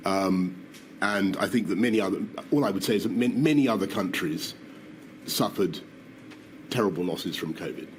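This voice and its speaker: A man's voice: low voice, moderate pace, rough recording. A man giving formal testimony, hesitant and politely demurring, with some noise on the hearing-room recording. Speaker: inquiry witness.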